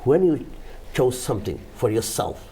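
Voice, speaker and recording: A man's voice: mid-range voice, big pitch swings, moderate pace, dark tone, a little hiss or hum. A man hosting a television panel discussion, putting questions to his guests in a conversational way. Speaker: TV talk show host.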